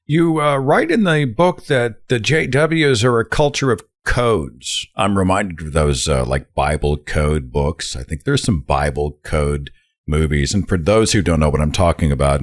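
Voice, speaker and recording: A man hosting a podcast interview, asking questions in an animated, conversational way. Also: extremely low pitch, moderate pace. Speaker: podcast host.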